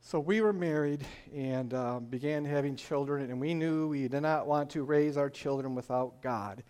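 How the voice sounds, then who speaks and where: A man's voice: medium pitch, normal speed, a little mellow, clear, resonant tone. An older man from Michigan with a northern Michigan accent, telling his personal faith testimony in an earnest, storytelling way with frequent "uh"s. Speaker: church testimony speaker.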